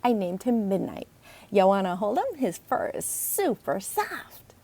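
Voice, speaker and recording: A woman voicing a sassy, excitable young girl detective in a children's storybook reading. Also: medium pitch, measured pace. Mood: excited.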